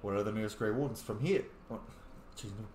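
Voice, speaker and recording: A man casually commenting on a role-playing game and reading dialogue choices aloud, with animated, jokey asides. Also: on the low side, quick pace. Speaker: gaming host, role-player. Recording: echoey room, faint background noise.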